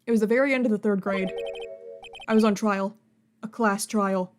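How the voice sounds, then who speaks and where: A woman's voice: medium pitch, fairly even intonation, normal speed. A woman reading a game's dialogue aloud, playful, with jokey asides. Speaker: let's play streamer. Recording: game music behind.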